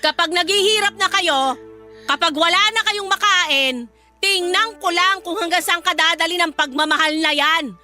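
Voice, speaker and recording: A woman's voice: very high-pitched, moderate pace, bright, forceful tone, heavily acted. A woman playing a bitter, scornful middle-aged mother in a Filipino radio drama, speaking in a harsh, accusing way. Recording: music behind the voice.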